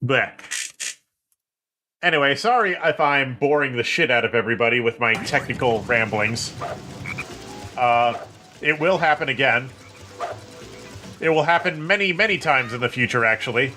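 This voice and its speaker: A man streaming a video game, rambling, swearing and joking at himself while his voice turns hoarse and tired. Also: mid-range voice, lively intonation, normal speed, long pauses, sharp tone. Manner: rambling, swearing, self-mocking. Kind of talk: casual gameplay commentary. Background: game music.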